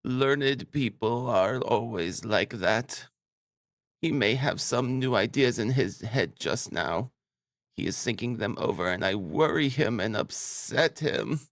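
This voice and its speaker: A man's voice: mid-range voice, lively intonation, takes it slowly, no echo, clean studio sound, pitched above the performer's own voice. A man voicing a doting, flustered elderly mother in an audiobook, gushing and rambling with tearful affection. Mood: fond fussing. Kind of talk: audiobook character acting.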